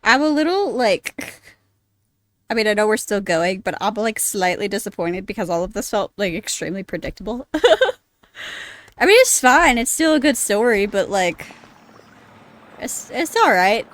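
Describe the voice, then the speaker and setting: A woman's voice: medium pitch, big pitch swings, a little slow, a bit bright, no echo. A young adult woman giving candid, sweary, casual commentary as she live streams a game.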